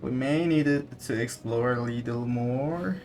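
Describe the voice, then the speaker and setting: A man's voice: medium pitch, a little slow. A man giving casual gameplay commentary, thinking aloud and often exclaiming. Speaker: gaming streamer. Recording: game music behind voice. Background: game music.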